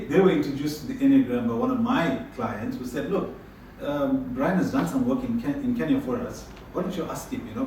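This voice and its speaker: A man's voice: medium pitch, darkish tone, slight background noise. Man speaking far from the mic in an echoey room. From South Africa. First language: Tamil. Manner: conversational, frequent restarts and repeated words. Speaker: conference presenter. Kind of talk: presentation with audience questions.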